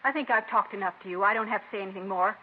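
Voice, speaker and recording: A woman's voice: mid-range voice, racing, lightly acted. Actress as a bitter, lovelorn woman, tinny radio. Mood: guarded, defensive. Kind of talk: 1950s radio crime drama acting. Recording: tinny, hissy vintage radio recording.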